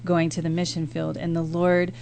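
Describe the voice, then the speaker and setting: Low-pitched American woman, hum behind her. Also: completely flat delivery, normal speed, vocal fry, darkish tone. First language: English. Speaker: guest, missionary and former teacher. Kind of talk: casual radio interview. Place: radio interview. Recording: background noise and hum.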